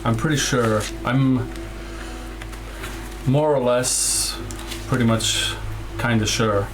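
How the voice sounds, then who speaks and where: A man playing a tabletop game, chatting in character in a wry, halting, self-correcting way. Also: mid-range voice, background noise. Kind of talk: improvised in-character game chat. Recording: music behind the voice.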